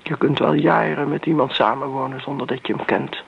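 A woman's voice: rumbling low, fairly even intonation, brisk, vocal fry, breathy edge, heavily acted. An actress playing a bitter, middle-aged daughter who defends her mother in a radio play, pointed and reproachful in manner. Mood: accusing, defensive. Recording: muffled old radio recording, background hiss.

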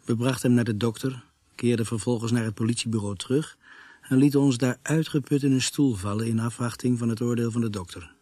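An actor in a Dutch radio play playing a husky first-person narrator of a crime story, measured and scripted. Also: male voice, medium pitch, warm tone, no echo, natural voice. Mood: measured, tense.

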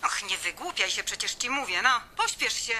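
An actress playing an impatient office secretary in a radio drama, hurrying a coworker along. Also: female voice, mid-range voice, very animated, brisk, a crowd in the background, close to an everyday voice.

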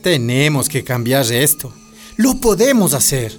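A man's voice: medium pitch, very animated, a little slow, soft background music. A man playing an idealistic, witty mayoral candidate being interviewed in a radio drama, folksy and earnest. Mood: impassioned.

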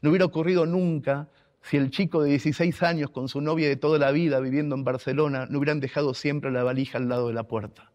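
A man's voice: on the high side, steady tone, fast, a little mellow. A man from Argentina with an Argentine accent, telling a personal story in an emotional, emphatic way, repeating words for weight. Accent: Argentine Spanish. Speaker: radio contributor and writer.

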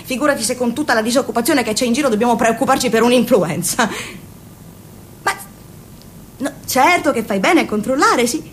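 A woman's voice: fairly high pitch, brisk, long pauses, a little hiss or hum, lightly acted. An actress playing Amanda, a fussy, chatty mother and saleswoman who puts on a cheerful manner, in a radio drama. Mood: phone chatter. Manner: chatty, fussing, falsely cheerful on the phone.

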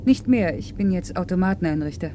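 A woman's voice: on the low side, lively intonation, brisk, mellow, a little hiss or hum, close to an everyday voice. An actress playing a reserved, stubborn female factory worker in a radio play, terse and plain-spoken. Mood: guarded. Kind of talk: naturalistic German radio play dialogue.

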